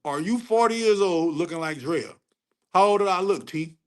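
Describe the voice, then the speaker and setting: A man's voice: high voice, moderate pace, fairly bright tone. Profane preachy man ranting on a stream. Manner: preachy, profane, repeats phrases for emphasis. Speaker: livestream host answering chat. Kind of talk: casual unfiltered livestream rant.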